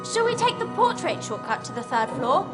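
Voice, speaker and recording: A woman's voice: sits high, sing-song, normal speed, over loud music. A woman playing a clever, earnest, bossy teenage witch with a British accent and an emphatic know-it-all manner in a video game. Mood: smug, chiding.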